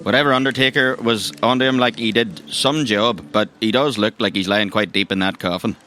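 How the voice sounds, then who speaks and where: Man narrating over clear music. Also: lowish voice, fairly quick, bright, forceful tone. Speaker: game master. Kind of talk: conversational narration of a roleplay story. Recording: music behind the voice.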